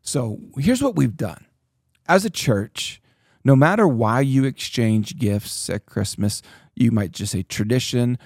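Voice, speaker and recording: A man hosting a casual church podcast, talking with animated, preachy emphasis and reading scripture aloud. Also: mid-range voice, a little slow, vocal fry, dry recording, studio quality. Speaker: pastor and podcast host.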